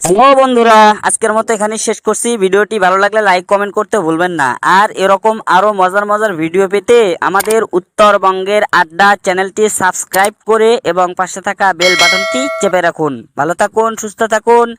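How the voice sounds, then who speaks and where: A male channel host with a Bangladeshi accent and a notably high voice. He delivers his words as a scripted address to viewers. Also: steady tone, brisk, few pauses, fairly bright tone, slight background noise. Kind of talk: scripted video sign-off.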